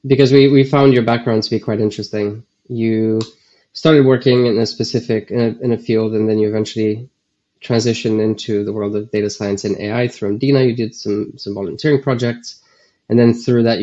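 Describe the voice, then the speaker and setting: A male podcast host with a low, mellow voice reads his introduction, then asks questions with some hesitation. The recording sounds dull and lo-fi. He speaks in a relaxed way. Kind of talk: scripted intro and interview questions.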